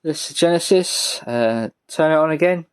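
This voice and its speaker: Low-key British man, halting casual chat. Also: clear, resonant tone. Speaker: vlogger showing a music collection. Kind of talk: casual show-and-tell chat.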